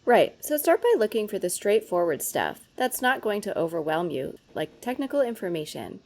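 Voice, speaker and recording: A woman's voice: medium pitch, big pitch swings, normal speed, darkish tone. A woman presenting scripted instructional tips, addressing the viewer in an instructive manner.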